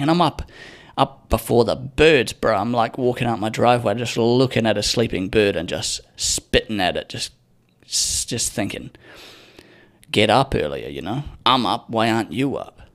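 A man's voice: medium pitch, gravelly. A young man hosting his own podcast, talking casually in a profane, rambling, sardonic way into a microphone. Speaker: podcast host. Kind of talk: casual solo podcast rant.

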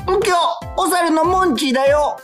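Very high, playful cartoon monkey. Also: female voice, moderate pace. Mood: playful, puzzled. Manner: monkey squeals as verbal tics. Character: playful, squeaky, childlike talking monkey. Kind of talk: cartoonish radio drama acting. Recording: faint music behind the voice.

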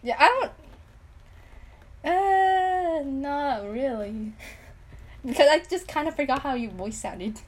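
A woman's voice: high-pitched, lively intonation, slow pace, long pauses. A teenage girl hosting her own podcast, chatting casually and giggling often, with many fillers. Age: teens. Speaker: podcast host. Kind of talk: casual chat.

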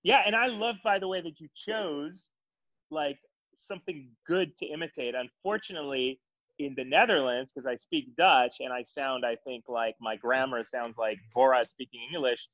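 A man's voice: mid-range voice, moderate pace, fairly bright tone, no echo, highs cut off. A man from Israel chatting casually as co-host, thoughtful and hesitant, with frequent fillers. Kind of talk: casual chat.

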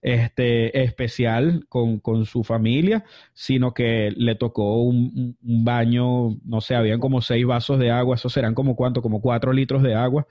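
A man's voice: mid-range voice, fairly even intonation, moderate pace, hardly any pauses. A man from Venezuela, telling stories as an interview guest in a casual, rambling way full of fillers and restarts. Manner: chatty, many fillers and restarts. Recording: dull lo-fi audio.

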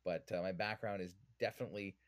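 A man's voice: low-pitched, fairly even intonation, quick pace, dry recording, clean studio sound. A man from Vancouver with a Canadian accent, answering interview questions conversationally as a podcast guest. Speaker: podcast guest, whiskey influencer.

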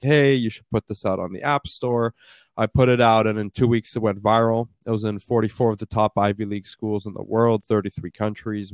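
Deep voice young guy telling his founder story, lots of uhs, dry close mic. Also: clear, resonant tone, no room sound. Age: 20s. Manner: fluent storytelling with frequent uh fillers. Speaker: startup founder guest. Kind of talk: interview answers, conversational. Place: based in Canada.

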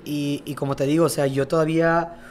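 Reflective man, quick talk. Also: a little high, level tone, a little hiss or hum. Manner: reflective, fast storytelling with frequent "¿sabes?". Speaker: guest, tennis coach and former athlete. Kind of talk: casual podcast conversation.

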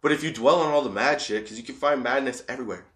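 A high man rambling casually and profanely about getting past setbacks, laughing often. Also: fast talker, fairly bright tone, reverberant. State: high on cannabis.

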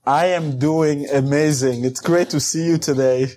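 A man's voice: fairly high pitch, steady tone, a little slow, darkish tone, a little hiss or hum. A man hosting an interview show, upbeat as he reads out a guest's introduction and asks questions. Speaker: host.

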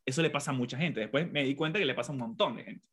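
A man's voice: mid-range voice, very fast, fairly bright tone, slightly rough. A young man from Venezuela with a mixed Venezuelan, Colombian and Argentine Spanish accent, telling his life story reflectively as an interview guest. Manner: tells anecdotes, acts out childhood voices.

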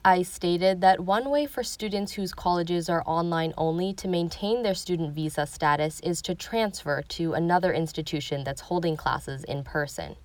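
A woman hosts a news segment in a measured, scripted read. Her voice sits a little low, and the recording is dry and close, with no room around it. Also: no room sound. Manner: measured, even reporting delivery. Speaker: radio reporter and host.

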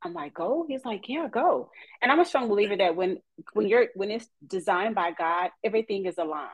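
A woman from East Texas, chatting on a podcast as a guest counselor and telling her story with faith-filled warmth. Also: mid-range voice, lively intonation, brisk, slightly rough, no echo. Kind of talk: casual podcast chat.

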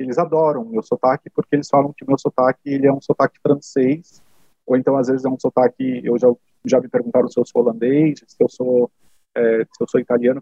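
A man's voice: medium pitch, gravelly, warm tone, breathy edge, no room sound. A Brazilian man answering interview questions in a casual, explanatory way, often tagging phrases with short checks to the listener. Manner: explanatory, many fillers like "né" and "tá". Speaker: interview guest. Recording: dull lo-fi sound.

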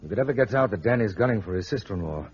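A hard-boiled detective hero from 1940s radio, low and very husky. He is cool and commanding, with clipped slang and a wry edge. The old broadcast recording is muffled. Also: animated, very fast, darkish tone. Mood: calm, decisive.

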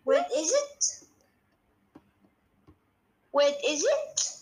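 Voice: very high voice, big pitch swings, very slow, a little mellow. A child co-hosting a casual podcast and playing a guessing game. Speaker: podcast co-host.

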